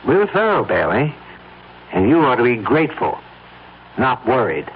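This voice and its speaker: A man's voice: mid-range voice, very animated, normal speed, husky, heavily acted. A man acting in a 1970s radio drama as a hard, cynical murder conspirator, blunt and challenging, on a muffled old recording. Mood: hard, defensive.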